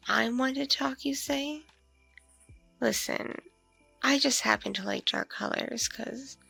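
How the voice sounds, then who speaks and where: A woman playing a lonely, flirtatious vampire neighbor in an intimate ASMR roleplay, speaking in a breathy, creaky murmur with hesitant, drawn-out pauses. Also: a little high, sharp tone, exaggerated voice. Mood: sheepish, amused. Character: lonely, flirtatious, awkwardly polite pale vampire woman next door. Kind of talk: ASMR roleplay, intimate one-sided dialogue.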